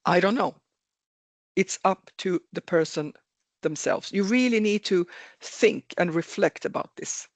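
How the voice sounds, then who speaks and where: A man speaks over a video call with a somewhat high, gravelly voice, and the sound is dry and close. He explains things earnestly, repeats key words for emphasis and often stops to correct himself mid-sentence. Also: unhurried, no echo. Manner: repetitive, earnest, self-correcting. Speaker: webinar speaker on careers. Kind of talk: explanatory webinar talk.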